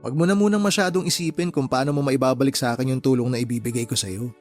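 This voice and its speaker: A man's voice: mid-range voice, fast, darkish tone, lightly acted. Guy acting as caring filipino uncle in a radio drama, encouraging, earnest, music under him. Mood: warm, encouraging. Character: caring, determined uncle encouraging his student niece. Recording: music bed behind the voice.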